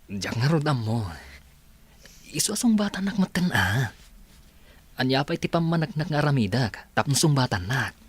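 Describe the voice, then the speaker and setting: A man's voice: mid-range voice, sing-song, normal speed, pauses often, breathy edge. An actor with an Ilocano accent playing an earnest young man courting a woman, in a radio drama. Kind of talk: radio drama acting and closing narration.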